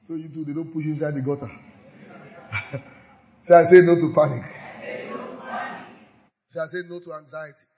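A man's voice: fairly high pitch, fairly even intonation, normal speed, long pauses, warm tone. A man preaching a sermon, exhorting his listeners and leading them in repeated declarations with frequent hallelujahs. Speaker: pastor. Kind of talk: sermon with call-and-response declarations.

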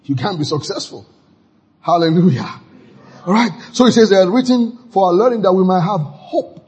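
A male pastor preaching a sermon, exuberant, with sudden shouts of praise between stretches of scripture reading. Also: sits high, lively intonation, normal speed. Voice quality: very husky.

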